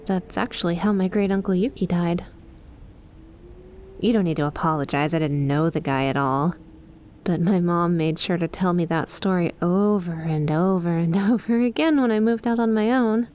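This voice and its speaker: Lady doing a lamia bestie for asmr roleplay, lowish voice, teasing and sweet, unhurried, noisy background. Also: darkish tone, natural voice. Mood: sincere, chatty. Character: playful, affectionate young lamia snake-girl best friend. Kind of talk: ASMR roleplay, addressed to the listener.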